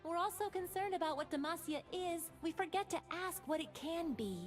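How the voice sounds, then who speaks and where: An actress playing an optimistic, playfully sarcastic young mage of light in a video game. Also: female voice, extremely high pitch, brisk, soft background music. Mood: earnest, hopeful. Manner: bright-eyed earnest, playfully sarcastic. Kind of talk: video game character voice lines.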